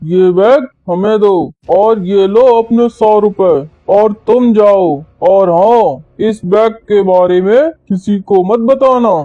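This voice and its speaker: A greedy crow boss from a kids' cartoon, played by a man, pitched high for a male. He gives orders slowly and bossily. The recording is dry and a little dull. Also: no room sound. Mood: scheming. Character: greedy, wicked crow auto-rickshaw owner, male uncle. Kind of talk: Hindi children's cartoon dialogue.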